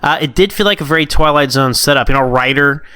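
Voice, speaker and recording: A man co-hosting a podcast, casually giving animated opinions full of asides. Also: a little high, fast talker, clean tone, no room sound. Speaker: podcast co-host.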